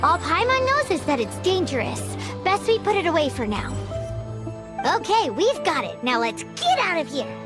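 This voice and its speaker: Super high squeaky little fairy sidekick, cutesy n excitable, bouncy pitch, game music behind. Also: female voice, moderate pace. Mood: cautious. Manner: talks about self in third person, cutesy. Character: tiny chirpy childlike fairy companion, excitable and cautious. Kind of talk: English video game dub acting.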